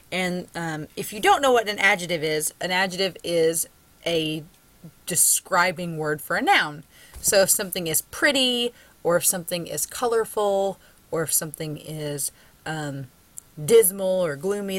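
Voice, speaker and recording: A woman with a Southern US drawl delivers a recorded college English lecture from her computer. Her voice sits a little low, and she speaks conversationally and encouragingly, with plenty of ums along the way. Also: fairly bright tone, no room sound. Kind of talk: recorded lecture with screen share.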